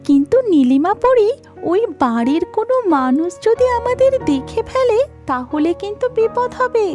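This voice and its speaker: A woman's voice: very high-pitched, a little mellow, heavily acted. A woman voicing a cautious, timid young fairy in mermaid disguise for a cartoon. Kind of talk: cartoon character acting.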